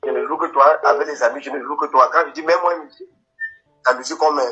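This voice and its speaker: A man with a Cameroonian French accent, a caller speaking earnestly and haltingly with many fillers. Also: on the high side, fairly even intonation, bright, forceful tone. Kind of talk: live phone-in chat. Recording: dull lo-fi phone line.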